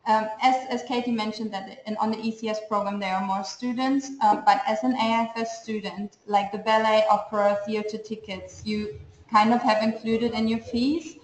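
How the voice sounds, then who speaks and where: A woman's voice: on the high side, fairly even intonation, moderate pace, a little hiss or hum. A woman program staff member adding remarks in a webinar, talking casually with hedging words. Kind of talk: webinar presentation. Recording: echoey room.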